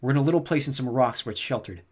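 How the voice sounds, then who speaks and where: A man's voice: mid-range voice, expressive, rapid-fire, slightly rough. A man voicing a serious, guarded teenage boy who speaks earnestly in an audiobook reading.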